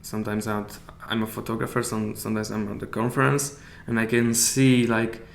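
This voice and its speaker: Hesitant Polish-accented man, flat low voice. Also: brisk, creaky. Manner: hesitant, often searching for English words. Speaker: guest. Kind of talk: casual interview chat. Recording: echoey room with faint hiss.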